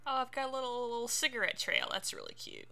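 A woman giving casual, animated commentary as she streams a video game let's play. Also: a little high, fast talker, vocal fry. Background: game music.

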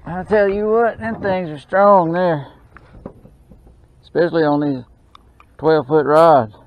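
A man giving excited running commentary while fishing from a small boat on a lake, recorded on a boat-mounted action camera. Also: a little high, measured pace. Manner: excited, drawling exclamations and mutters to the fish. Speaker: fishing channel host. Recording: dull action-camera audio with faint noise.

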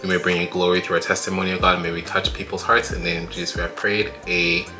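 Fast-talking young man, deep and bright. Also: little pitch movement, over music. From Congo, raised in Canada. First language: French. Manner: fast, filler-heavy, gestures a lot. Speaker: co-host, husband. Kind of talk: casual storytime chat. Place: small home room, indoors.

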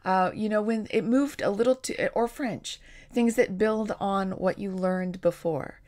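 A woman in her forties with a gravelly voice, talking casually to a webcam as a creative coach in her home studio. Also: medium pitch, unhurried. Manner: warm, encouraging, animated with hand gestures. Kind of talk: casual direct-to-camera vlog. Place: home studio room with bookshelves and string lights. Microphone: webcam at desk distance.

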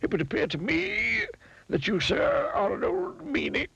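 A high, wordy storybook wizard. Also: male voice, wildly swooping pitch, measured pace, gravelly, pushed and bright, no echo, heavily acted, low-quality recording. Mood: pompous, stern. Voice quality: high, fluttery, long-winded. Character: wordy, pompous old male wizard.

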